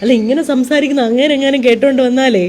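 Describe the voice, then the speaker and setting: A woman's voice: sits high, fast, a little mellow, soft background music. A woman radio jockey bantering teasingly and casually as she makes prank calls.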